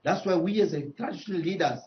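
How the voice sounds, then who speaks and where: Congolese guy being interviewed, kinda high voice, halting but emphatic, dull lo-fi audio. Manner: emphatic, repeats phrases, halting second-language phrasing. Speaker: traditional leader, interview guest. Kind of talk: live news interview.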